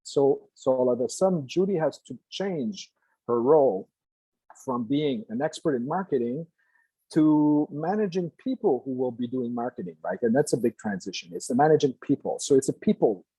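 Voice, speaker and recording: An older man from Quebec whose first language is French, giving a guest lecture to a class in a halting way, with many restarts and fillers. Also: mid-range voice, animated, mellow, breathy edge, dry recording, poor audio. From Quebec, Canada.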